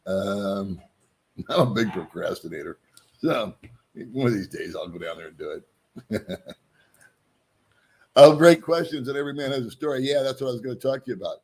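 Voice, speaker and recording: A man's voice: mid-range voice, animated, moderate pace, long pauses, a little mellow, husky. A middle-aged man hosting a casual live stream, joking at his own expense and greeting viewers by name.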